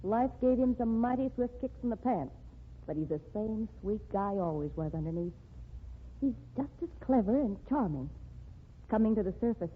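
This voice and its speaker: A woman's voice: mid-range voice, animated, normal speed, husky. An actress playing a determined woman writer who pushes a man to start over, in an old radio drama. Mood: defiant. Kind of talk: 1940s radio drama acting. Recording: tinny lo-fi old radio broadcast.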